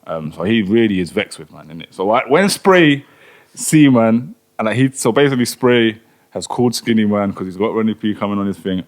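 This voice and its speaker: A man co-hosting a podcast, telling a story in casual chat full of slang. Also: lowish voice. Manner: fast, animated, slangy storytelling. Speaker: podcast co-host.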